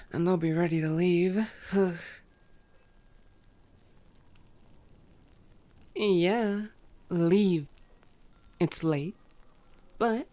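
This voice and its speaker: A woman acts out a female vampire with a lowish voice and an unhurried, drawling delivery. She is sardonic and teasing, grumbling in exasperation and swearing casually, in the style of an ASMR roleplay. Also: a little mellow. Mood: weary, restless. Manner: sarcastic, exasperated, casually profane.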